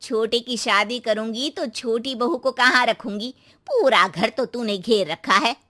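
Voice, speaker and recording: A woman's voice: fairly high pitch, measured pace, bright, forceful tone. A woman voicing a greedy, domineering middle-aged mother-in-law in a moral story, bossy and taunting. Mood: demanding. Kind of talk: acted moral-story dialogue.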